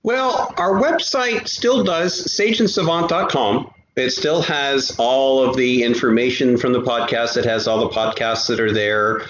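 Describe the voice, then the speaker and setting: A man's voice: medium pitch, fairly even intonation, measured pace, few pauses, fairly bright tone. A middle-aged man chatting casually as a guest on an interview. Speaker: guest, podcast creator. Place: untreated city apartment room. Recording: roomy, dull sound with faint noise.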